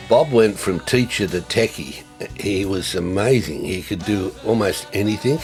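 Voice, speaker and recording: Older aussie guy, low voice, halting tribute talk, lots of ums. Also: darkish tone, faint music behind. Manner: halting, many fillers and restarts. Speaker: former ministry co-worker. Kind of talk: reflective tribute.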